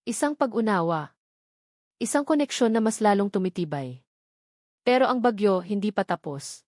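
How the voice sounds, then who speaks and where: A woman's voice: medium pitch, lively intonation, normal speed, a little mellow, no echo. Woman narrating a dramatic romance, dry sound. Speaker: audiobook narrator.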